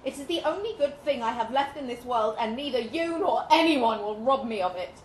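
A woman's voice: a little high, animated, normal speed, pitched above the performer's own voice, lightly acted. A woman voicing a plucky, defiant captive Victorian heroine, impassioned and melodramatic, with formal old-fashioned diction. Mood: fierce defiance. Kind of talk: melodramatic live story reading. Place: indoor live literary event. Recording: roomy, noisy live venue recording.

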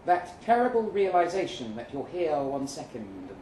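A man performing a live short-story reading as a weary, jaded agent, speaking deliberately with dramatic pauses. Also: fairly high pitch, sing-song, a little mellow. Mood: grave, reflective. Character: weary, jaded male agent narrating his own story. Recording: roomy live venue, some noise.

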